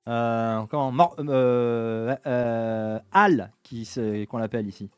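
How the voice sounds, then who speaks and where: A nosy, talkative barkeeper, played by a man in a tabletop game, blunt and jokey, rambling from one remark to the next. The voice sits at a middling pitch and sounds close and dry, with soft music under it. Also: slow pace, clean tone, no room sound, close to an everyday voice. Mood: gossipy. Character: chatty middle-aged male barkeeper, nosy.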